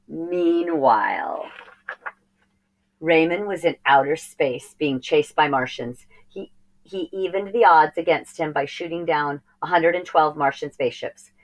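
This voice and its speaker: A woman with a low-pitched voice narrates a children's storybook. She reads aloud expressively, telling the tale for young listeners. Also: rough recording. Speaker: storybook reader.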